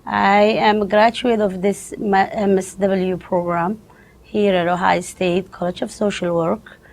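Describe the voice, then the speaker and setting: A woman from Somalia, a social work graduate giving students a spoken overview, pausing often with fillers and restarts. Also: medium pitch, little pitch movement, creaky, darkish tone. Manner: halting, frequent "uh" fillers and restarts.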